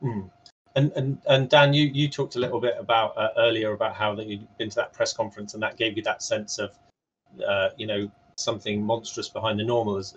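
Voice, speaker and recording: A man's voice: lowish voice, slight background noise. A man moderating a panel casually, repeating words as he feels his way into questions. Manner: halting, repeating words while framing questions. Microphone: video call. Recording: dull lo-fi video-call audio.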